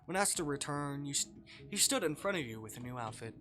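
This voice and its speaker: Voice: mid-range voice, expressive, brisk, a bit bright, soft background music. A streamer narrating a visual novel live, reading aloud with casual asides and the odd stumble over a line.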